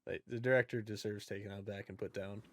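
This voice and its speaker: A man's voice: lowish voice, no echo. A man co-hosting a podcast, chatting casually about movies in a blunt, informal way. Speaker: podcast host.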